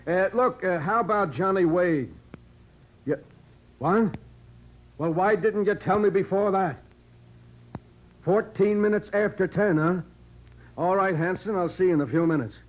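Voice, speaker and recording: Blustery old-time radio police sergeant. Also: male voice, high-pitched, measured pace, pauses often. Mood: brisk. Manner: blustery, colloquial, exclaiming. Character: gruff, skeptical male police sergeant in a detective serial. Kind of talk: 1940s radio drama acting. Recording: dull lo-fi old radio recording.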